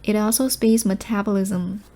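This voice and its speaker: A woman reading short study texts aloud in a measured, formal manner. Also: mid-range voice, little pitch movement, mellow. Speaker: reader of practice passages.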